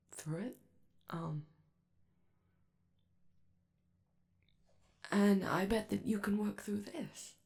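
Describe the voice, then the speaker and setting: Woman voicing a young male robot, awkward, eager to please, creaky, slightly low pitch, hesitant rambling, gentle ASMR roleplay. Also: exaggerated voice. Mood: gentle, earnest. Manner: hesitant, rambling, nervously apologetic, stammering. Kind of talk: soft ASMR roleplay monologue.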